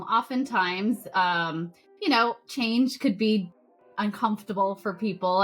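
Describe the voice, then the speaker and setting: Earnest woman guest from Canada. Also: fairly low pitch. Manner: thoughtful, earnest, steady explaining. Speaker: photographer, podcast guest. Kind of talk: casual interview chat.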